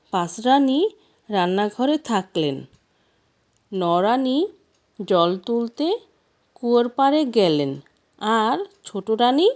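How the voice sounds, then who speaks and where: A woman narrating a scripted fairy tale in an expressive storybook manner, with deliberate pauses. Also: medium pitch.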